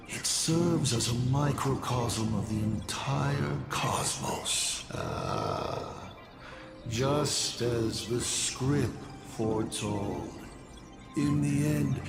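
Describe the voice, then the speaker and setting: A man's voice: medium pitch, very animated, extremely slow, vocal fry, full character voice. A man voicing a chaotic trickster villain in a video game, theatrical and mocking in manner. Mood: grandiose menace. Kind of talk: scripted video game acting. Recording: echoing, loud music underneath.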